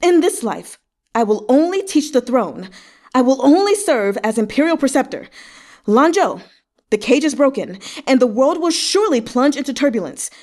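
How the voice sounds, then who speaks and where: Woman acting a proud old male scholar, impassioned, high-pitched. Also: sing-song, normal speed, a little mellow. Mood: fervent, anguished. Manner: haughty, impassioned declaiming. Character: proud, furious old male scholar and teacher, ranting defiantly. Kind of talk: dramatic read-aloud of a historical novel.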